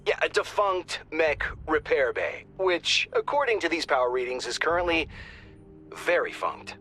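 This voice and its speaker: A wry mission controller in a tactical video game. His voice is bright and forceful, with lively swings in pitch and an informal urgency. Music plays faintly behind him. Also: normal speed. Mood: brisk, wry. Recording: music under the voice.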